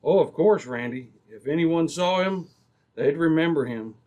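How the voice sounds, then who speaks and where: Man as a steady, reassuring police sergeant. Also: on the high side, close to an everyday voice. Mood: reassuring, resolute. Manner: measured, reassuring. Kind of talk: old-time radio drama acting.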